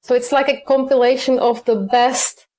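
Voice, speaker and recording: Lady, kinda high pitched, lots of uh and um, fixes her words as she talks. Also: little pitch movement, moderate pace, darkish tone. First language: Czech. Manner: hesitant, many fillers and self-corrections. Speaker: cookbook author, guest. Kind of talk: interview answers.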